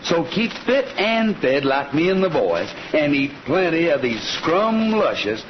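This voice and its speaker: Folksy, animated radio cowboy hero. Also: male voice, fairly high pitch, unhurried, a little hiss or hum. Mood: hearty. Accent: American Western drawl. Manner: folksy, hearty. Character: folksy cowboy hero, blustery and scared of women. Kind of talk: old-time radio western acting and narration. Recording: muffled old radio recording with music.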